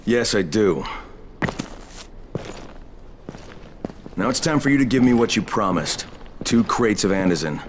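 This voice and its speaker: A tough, wry undercover operative, acted by a man in a video game. His voice is low and blunt, with slight background noise. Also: lively intonation, fairly quick, lightly acted. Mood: matter-of-fact. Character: gruff, weary male undercover operative, reluctant enforcer. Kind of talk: video game cutscene acting.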